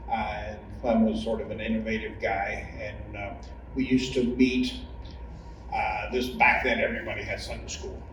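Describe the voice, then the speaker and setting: A man recalling church memories in a group interview, halting and pausing often mid-phrase. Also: lowish voice, little pitch movement. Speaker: longtime church member being interviewed. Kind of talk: hesitant spoken recollection in a group interview. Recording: noisy, echoey room, far from mic.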